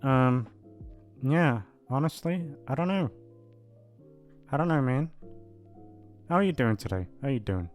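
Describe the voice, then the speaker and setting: A man with a mid-pitched voice rambles casually to his audience over music. He is hesitant and self-deprecating, drifting along without much direction. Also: animated, a little slow, long pauses. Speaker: voice actor signing off to listeners. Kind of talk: casual rambling outro chat.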